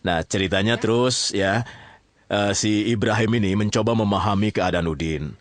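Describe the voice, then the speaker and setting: Low-pitched man telling a story. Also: moderate pace, no room sound. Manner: colloquial, checks in with listeners often. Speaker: narrator. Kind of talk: conversational storytelling in an audio drama.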